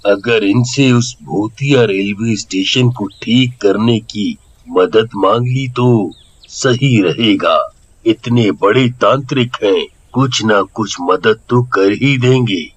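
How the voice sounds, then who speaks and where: A man's voice: fairly low pitch, takes it slowly, husky, poor audio. A man playing a respectful, earnest village headman in a horror story, deferential in manner. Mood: pondering. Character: respectful, worried male village headman pleading for help. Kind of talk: acted Hindi horror audio story.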